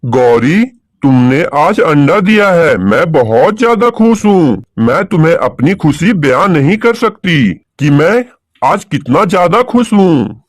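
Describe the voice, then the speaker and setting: A man playing a commanding, excitable male crow husband in a children's cartoon, speaking emphatically. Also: on the high side, unhurried, no echo, studio quality. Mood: overjoyed. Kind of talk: Hindi children's cartoon dialogue.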